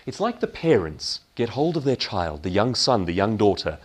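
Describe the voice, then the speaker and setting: A man's voice: fairly low pitch, very animated, moderate pace, husky. A man giving a motivational keynote talk in an emphatic manner, posing questions and answering them himself. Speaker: keynote speaker.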